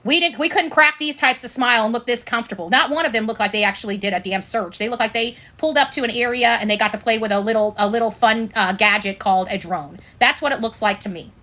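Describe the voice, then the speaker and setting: A woman's voice: fairly high pitch, fast talker, few pauses, pushed and bright. Blunt, sarcastic American woman commentator. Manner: blunt, sarcastic, repeats phrases for emphasis. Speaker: online commentator and show host. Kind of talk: informal opinionated livestream commentary.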